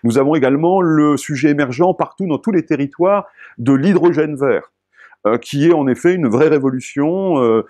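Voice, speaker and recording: Frenchman explaining with frequent searching pauses. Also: fairly high pitch, warm tone. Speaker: expert spokesperson for local government association. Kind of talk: explanatory talk in an informational video.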